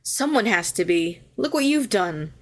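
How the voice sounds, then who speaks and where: A woman's voice: medium pitch, big pitch swings, unhurried. A woman playing a telepathic villain, a former hero who is sardonic yet tenderly protective, in an intimate audio roleplay. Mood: scornful, cutting. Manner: sharp and sardonic, turning soothing and coaxing.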